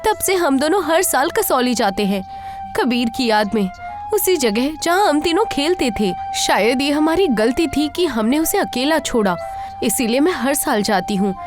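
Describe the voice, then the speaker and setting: A high, expressive voice over background music: a woman playing a frightened young wife in a horror story. She is earnest and anxious, pleading to be believed, with grief underneath. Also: moderate pace. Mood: grieving, resolved. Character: frightened, haunted young wife grieving a lost child. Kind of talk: audio horror story acting.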